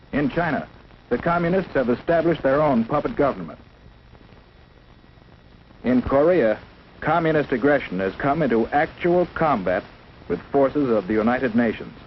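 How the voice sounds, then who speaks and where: A man's voice: mid-range voice, lively intonation, normal speed, pauses often. An American man reads a scripted 1950s instructional film narration in a formal, solemn, declarative manner. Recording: dull, noisy 1950s film soundtrack.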